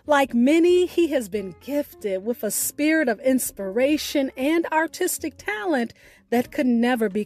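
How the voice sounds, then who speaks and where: Woman, high pitch, slow measured pace, scripted radio hosting, music behind. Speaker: radio show host. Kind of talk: scripted radio outro narration.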